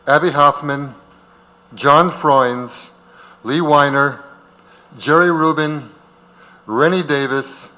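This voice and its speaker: A man narrates a historical documentary with deliberate, emphatic delivery. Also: a little high, bright, forceful tone. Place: large auditorium. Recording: long hall reverb.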